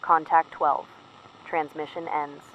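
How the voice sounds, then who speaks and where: A woman's voice: deep voice, little pitch movement, slow pace. Through a dull, slightly noisy radio link, a guarded female field operative played by an actress files a secret report. She is weary and afraid, choosing plain words with care, as in a dystopian audio drama. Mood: hushed, puzzled. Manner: careful, plain-spoken, confiding. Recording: muffled noisy radio transmission.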